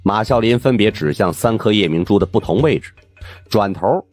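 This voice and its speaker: A man narrating an audiobook in a colloquial, wry and expressive first-person storytelling style. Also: on the low side, normal speed, no room sound, faint music behind.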